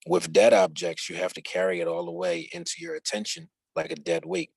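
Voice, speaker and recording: A man with a husky, gravelly voice reading a written instructional dialogue aloud. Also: deep voice, huge pitch swings, fairly quick, dry recording. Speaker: reader of a book chapter.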